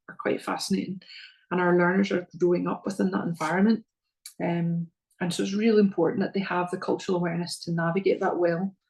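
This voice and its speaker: Northern Irish woman, middle-aged, earnest presenter to parents; flat, slightly gravelly voice, lots of ums and restarts. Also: lowish voice, rough recording. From Northern Ireland. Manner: earnest, repeats words, frequent ums.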